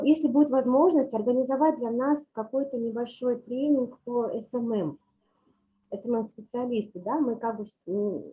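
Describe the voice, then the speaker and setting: A woman's voice: a little high, dark tone, slight background noise, rough recording. A woman who is feeling unwell, speaking hesitantly with many fillers in an online meeting. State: unwell, speaking without video. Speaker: municipal participant. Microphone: video call audio.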